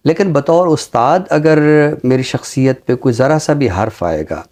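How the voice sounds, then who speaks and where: A man's voice: mid-range voice, sing-song, a little mellow. A middle-aged Pakistani man hosting a talk show, giving conversational literary commentary in formal, carefully chosen words. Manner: formal, deliberate word choice. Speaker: talk show host, university teacher.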